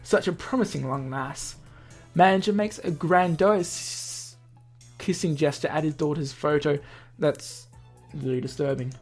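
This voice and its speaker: A man's voice: a little high, unhurried. A man hosting a gaming video, reading a visual novel aloud with casual asides and correcting himself as he goes. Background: music.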